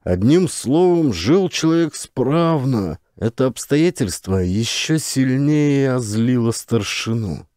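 Male audiobook narrator: mid-pitched, relaxed tone, scripted storytelling at an unhurried pace. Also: no echo, clean studio sound.

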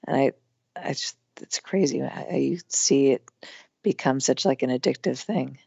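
A woman in her thirties with a hoarse, strained voice, chatting casually as a podcast guest with lots of fillers. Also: a bass voice, unhurried, dark tone, no echo, dull audio. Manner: chatty, rambling with many fillers. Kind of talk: casual conversation.

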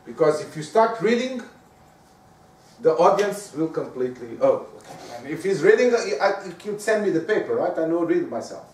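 A man from Portugal with a Portuguese accent, whose first language is Portuguese, giving a lively lecture on presenting and often asking the audience rhetorical questions. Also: on the high side, normal speed. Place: lecture room. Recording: echoey room, speaker far from the mic.

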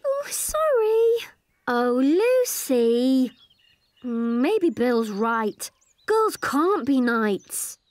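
A woman voicing an eager, sweet-natured young village child in a children's animated series, childlike, wide-eyed and expressive. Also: sits high, drawn-out words, exaggerated voice. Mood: timid, doubtful.

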